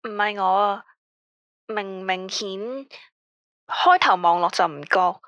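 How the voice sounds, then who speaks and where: A woman's voice: medium pitch, steady tone, slow, a bit bright, dry recording, highs cut off. Weary Hong Kong Cantonese woman caller, dry sound acted. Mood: uneasy, insistent. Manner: tired, guarded, earnest. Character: weary married woman calling late-night radio, uneasy. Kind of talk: radio drama phone-in dialogue.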